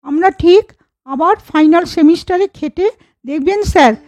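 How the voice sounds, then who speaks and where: A woman's voice: very high voice, moderate pace, a little mellow. A woman voicing an earnest, anxious college-girl younger sister in a children's cartoon story, speaking in a hesitant, confiding way. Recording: dull lo-fi audio over music.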